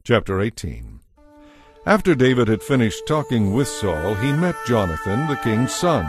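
A man narrating a dramatized scripture story in a measured storytelling manner. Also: mid-range voice, huge pitch swings, over music.

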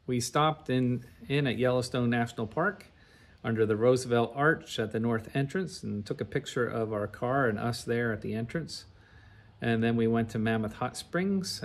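A man's voice: mid-range voice, moderate pace. Middle-aged man narrating a travelogue. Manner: recounts in an orderly, chronological, detailed way. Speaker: narrator of a travel slide show.

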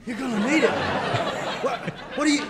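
An actor playing a flustered, excitable, naive cowboy in a parody radio serial. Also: male voice, very high voice, measured pace, a crowd in the background, background noise. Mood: puzzled. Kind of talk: parody old-time radio serial acting.

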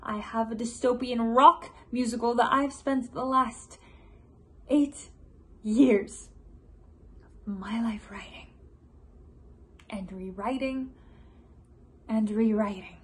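A woman's voice: a little high, steady tone, slow, long pauses, fairly bright tone. A teenage woman playing an anxious, restless male musical writer nearing thirty in a confiding, dramatic stage monologue. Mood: tense, self-mocking. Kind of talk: theatrical audition monologue.

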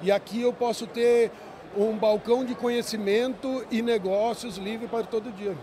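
A man's voice: high-pitched, fairly even intonation, moderate pace, warm tone. A man in an interview, speaking plainly and matter-of-factly about a trade fair.

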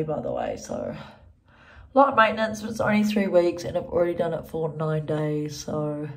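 A young New Zealand woman vlogging casually to her phone, her voice blocked up and nasal, her talk full of "like" and "um". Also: fairly low pitch. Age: young adult. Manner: chatty, lots of "like" and "um". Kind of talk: casual vlog to camera. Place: small bathroom indoors. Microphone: phone camera at arm's length. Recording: echoey bathroom.

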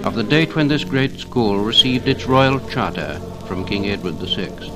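A man's voice: lowish voice, animated, moderate pace. A man with a British received pronunciation accent gives formal, lyrical 1950s documentary narration in a solemn, reverent manner. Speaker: narrator of a commemorative record. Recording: 1950 LP recording, music behind voice.

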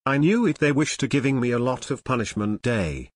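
A text-to-speech man voicing a young man in jail who pleads with his father in an animated fan video. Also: medium pitch, fairly quick, close to an everyday voice. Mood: pleading. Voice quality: flat synthetic text-to-speech voice. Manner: whiny, pleading.

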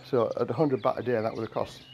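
An older man with an English accent chatting casually while washing his car, in a monotone voice and a rambling storytelling manner. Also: medium pitch, fast talker, gravelly, dull audio, noisy background. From England. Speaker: vlogger. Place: outdoor carport of a house. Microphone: camera mic from a few meters away. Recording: outdoor camera audio with birdsong. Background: birds and rural outdoor ambience.

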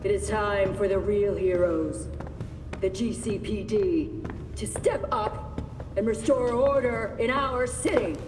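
A woman's voice: mid-range voice, slow, natural voice. An actress playing a stern female police commissioner making a formal public speech. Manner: formal, declaiming. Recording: distant echoey speech with music.

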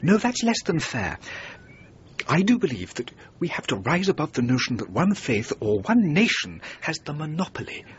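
Radio drama: witty, idealistic architect, middle-aged, urbane, formal; muffled, noisy sound. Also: male voice, very animated, normal speed. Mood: reflective. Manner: eloquent, urbane, formal phrasing. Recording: muffled radio recording with rumbling noise.

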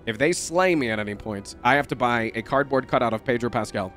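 A man's voice: mid-range voice, fairly quick, faint music behind. A young man with a nasal voice, swearing and joking through live gameplay commentary on stream from a room at home. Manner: profane, excitable, jokey.

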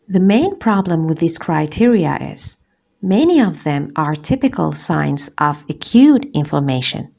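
A woman from Algeria, a gynecologist, giving a scripted medical lecture as a voice-over on slides, measured and precise. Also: low voice, gravelly, darkish tone. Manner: measured, deliberate, precise enumeration.

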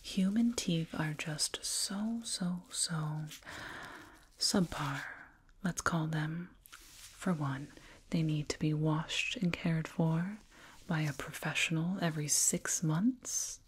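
A woman whispering slowly and soothingly in an ASMR roleplay as a condescending alien dentist, close to a binaural microphone. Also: sits low. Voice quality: breathy whisper. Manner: slow, soothing, patronizing, deliberate.

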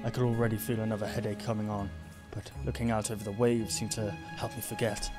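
A man's voice: lowish voice, flat delivery, quick pace, natural voice. Man voicing a brooding, haunted noir detective, over music. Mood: moody, weary. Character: brooding, haunted noir male detective, self-doubting monster.

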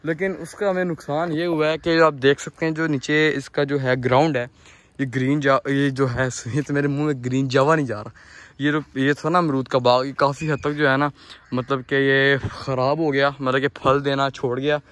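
A young man with a Pakistani accent, talking casually and haltingly to viewers as a farm-owning vlogger, outdoors on a phone. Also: mid-range voice, level tone, fairly bright tone, no room sound. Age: young adult. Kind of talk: casual vlog tour, explaining to viewers. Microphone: handheld phone at arm's length.

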